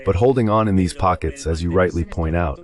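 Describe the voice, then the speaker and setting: A man with a low-pitched voice delivers dubbed political commentary. He works as the translated voice of a military analyst, setting out points in spoken commentary form. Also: brisk.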